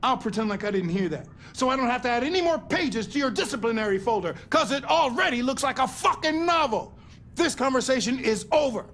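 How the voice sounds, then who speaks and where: A man's voice: very high-pitched, lively intonation, a little fast, fairly bright tone, slight background noise, heavily acted. An actor playing a stern, exasperated male police captain who gives blunt, profane orders. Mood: angry.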